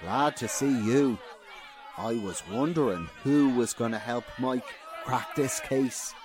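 An actor playing a slangy, good-natured beat cop in a radio crime drama, with a blunt, barking way of talking. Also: male voice, medium pitch, slow, lightly acted. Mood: relieved, chummy. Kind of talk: old-time radio crime drama acting. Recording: crowd murmur behind the voice.

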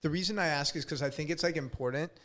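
A man in his thirties hosting a podcast, chatting casually and relaxed, with lots of "like" and some swearing. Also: a little high, fast. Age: 30s. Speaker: podcast host. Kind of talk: casual interview chat.

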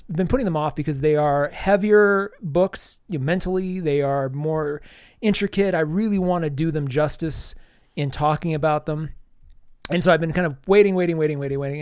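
A man's voice: on the high side, a little mellow, smooth, no room sound, clean studio sound. A man co-hosting a casual comics podcast, stuffy-nosed from allergies, talking in enthusiastic, rambling bursts. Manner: rambling, enthusiastic, many restarts. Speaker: podcast co-host reviewing comics.

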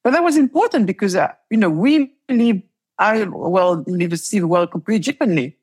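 Husky-voiced woman telling lively stories. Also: mid-range voice, fairly quick. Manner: animated storytelling, laughs often, repeats words. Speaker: entrepreneur guest. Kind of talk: conversational interview.

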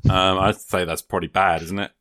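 Low-pitched man in casual game chat. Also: level tone, a little fast, a bit bright, no room sound, studio quality. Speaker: tabletop role-playing game player and podcaster.

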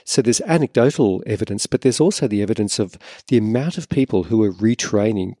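A man speaking as a panel guest, explaining things in an articulate, measured way. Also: lowish voice, dark tone, no echo, studio quality. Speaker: panel guest, arts educator. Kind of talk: considered panel discussion.

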